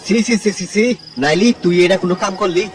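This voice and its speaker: A man's voice: high-pitched, moderate pace, natural voice. A man playing a stern, scolding village uncle who guards family honour, in an amateur drama with a Tangail Bengali accent. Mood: scolding, angry. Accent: Tangail regional Bengali, Bangladesh. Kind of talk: amateur village love-story dialogue. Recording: distant recording with music behind.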